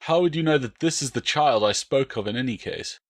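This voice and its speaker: A man acts a steady Roman soldier in a historical audiobook. He sounds principled and firm, speaking in measured, even phrases at a medium pitch. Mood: firm denial.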